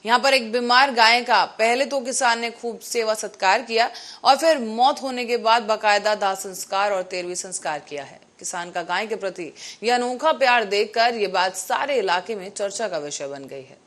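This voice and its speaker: ऊँची आवाज़ वाली महिला समाचार एंकर. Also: female voice, on the high side, normal speed, a bit bright. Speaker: news anchor. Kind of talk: scripted news headlines narration.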